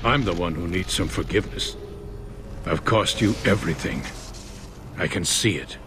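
A man's voice: low-pitched, normal speed, music in the background, natural voice. A man playing an elderly scientist and father in a video game, speaking earnestly and urgently. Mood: regretful. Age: older. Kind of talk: video game cutscene acting.

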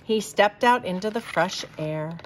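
Expressive woman reading a children's story. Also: mid-range voice, poor audio. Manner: deliberate, expressive. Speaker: storybook reader. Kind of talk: children's story read-aloud.

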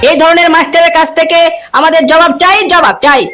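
A performer voicing an eager sidekick of a village leader in a comic cartoon, rallying and deferential, with a pitched-up voice. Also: steady tone, fast, over music. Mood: rabble-rousing. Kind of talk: comic cartoon skit dialogue.

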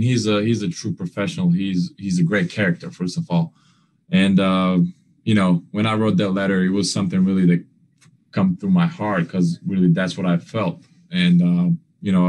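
A young male basketball player answering postgame questions over a video call. He speaks earnestly in halting phrases, full of fillers and restarts, with non-standard grammar. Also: deep voice, little pitch movement, a little mellow. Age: young adult. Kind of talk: postgame press conference answers.

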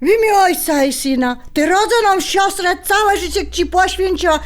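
An actress playing a defiant older sister with a Polish highlander accent, blunt and combative in an audio drama. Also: female voice, normal speed. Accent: Polish highlander (Goral). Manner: combative, blunt, rural dialect. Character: feisty, defiant mature sister about to marry late.

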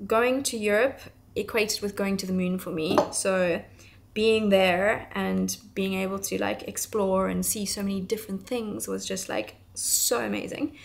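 A woman's voice: mid-range voice, creaky. A woman in her thirties from South Africa, her accent tinged with English, chatting to camera in a rambling, casual way while working at her bench at home. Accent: South African with some English. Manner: rambling, chatty, laughs often. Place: home workshop, small room indoors.

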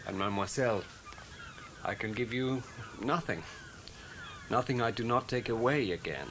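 An actor playing a gallant, idealistic South American adventurer with a Spanish accent and courtly, formal manners in a radio play. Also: male voice, mid-range voice, background noise, natural voice. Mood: gallant, warm. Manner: courtly, formal, unhurried phrasing.

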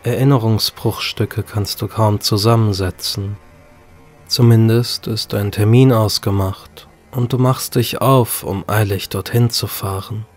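Slow, low-voiced male horror narrator. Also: steady tone. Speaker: audiobook narrator. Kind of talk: scripted horror story narration. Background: music.

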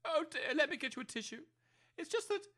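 A woman's voice: sits high, expressive, fast, fairly bright tone. A woman playing a pompous, snobbish new-age academy head in an amateur comedy audio drama, speaking precisely in lofty jargon. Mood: conciliatory.

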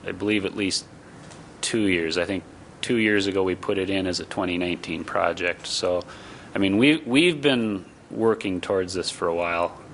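A male city staff member explains procedural options to the council in a low, gravelly voice. He restarts his sentences often, and a faint hiss sits under his speech. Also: normal speed, fairly bright tone.

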